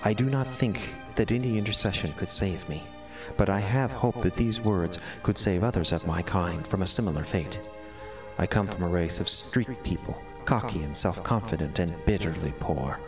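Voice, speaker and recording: An actor playing an eloquent, melodramatic cat who sees himself as a prisoner, speaking in formal, florid, theatrical monologue. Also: male voice, low voice, normal speed, far from the mic, natural voice. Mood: proud, resentful. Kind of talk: dramatic first-person audio drama monologue. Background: music.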